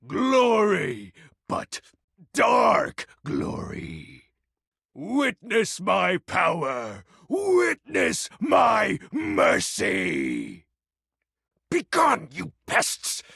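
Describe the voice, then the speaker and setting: A man voicing a melodramatic, pompous dark lord, darkness personified, in a video game, with a raspy, gravelly voice and grandiose archaic diction. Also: sits high, huge pitch swings, extremely slow, no room sound, clean studio sound, full character voice. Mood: triumphant, commanding. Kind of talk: video game character voice acting.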